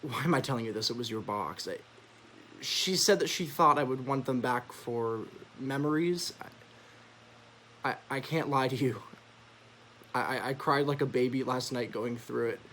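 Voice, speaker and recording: With faint noise behind him, an actor plays a grieving teenage boyfriend in a stage drama. His mid-range voice is halting and sentimental as he speaks a letter aloud. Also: medium pitch, a bit bright, natural voice. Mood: grieving, awkward. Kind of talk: stage acting, letter monologue.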